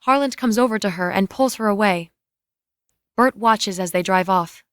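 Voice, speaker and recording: A woman's voice: medium pitch, normal speed, fairly bright tone, smooth, no echo. Woman reading screenplay directions, very dry recording. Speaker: narrator reading stage directions. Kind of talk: dramatic reading of a screenplay, scripted narration.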